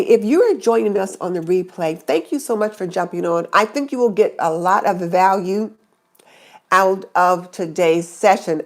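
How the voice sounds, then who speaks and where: Chatty, congested woman live-streaming. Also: medium pitch. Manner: chatty, greets viewers by name. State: stuffy nose from a cold. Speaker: YouTube channel host. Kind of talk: casual live stream chat.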